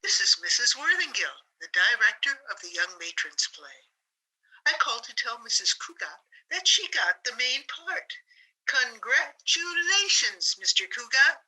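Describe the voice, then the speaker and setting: A polite society matron of a radio comedy, played by a woman. Her voice is very bright and sharp, formal but lively in pitch. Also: a little slow, heavily acted, poor audio. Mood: courteous. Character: polite, brisk matronly amateur theater director. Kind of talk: old-time radio comedy acting.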